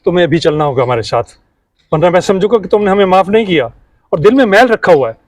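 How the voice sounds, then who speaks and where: A man's voice: high voice, animated, fast talker. A man playing an emphatic, earnest middle-aged customer with a bristling conscience in an amateur moral drama. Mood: remorseful, insistent. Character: older customer, quick to bristle, later remorseful. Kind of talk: amateur moral short-film acting.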